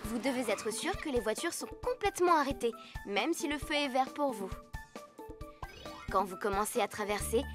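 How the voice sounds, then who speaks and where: A woman playing a patient, caring rescue-vehicle robot teaching children in a French-dubbed cartoon, earnest and instructive. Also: sits high. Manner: instructive, earnest, clearly phrased.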